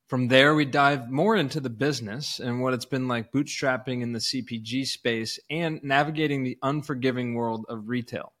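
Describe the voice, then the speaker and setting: A man's voice: medium pitch, steady tone, normal speed, hardly any pauses, a bit bright. A man co-hosting a podcast, introducing and interviewing guests in an upbeat, enthusiastic way.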